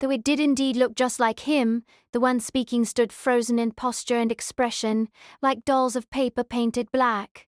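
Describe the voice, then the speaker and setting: A woman reading a novel as audiobook narrator, in a measured, literary storytelling manner. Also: on the high side, fairly even intonation, dry recording, clean studio sound.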